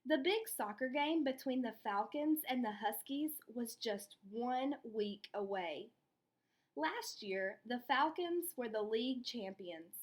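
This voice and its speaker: A woman's voice: on the high side, animated, takes it slowly. A woman teacher reading a children's story aloud and explaining it step by step. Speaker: elementary school teacher. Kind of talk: children's read-aloud with lesson.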